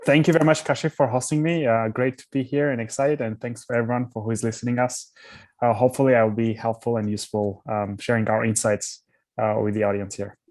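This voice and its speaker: A man's voice: mid-range voice, level tone, fast, a little mellow, dry recording. A young man from Armenia, a startup founder answering interview questions thoughtfully, often restarting his words.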